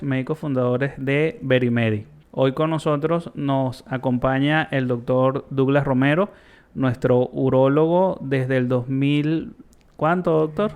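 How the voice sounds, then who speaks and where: A man's voice: medium pitch, steady tone, unhurried, a little mellow, clean tone. A man hosting a medical podcast, asking questions and affirming the guest's answers. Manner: prompting, affirming interjections. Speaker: podcast host. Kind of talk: conversational interview.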